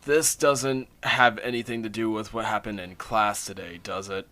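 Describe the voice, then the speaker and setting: A man's voice: lowish voice, steady tone, normal speed, pushed and bright. A man voicing an earnest, supportive pony father in an amateur cartoon audio drama, speaking matter-of-factly.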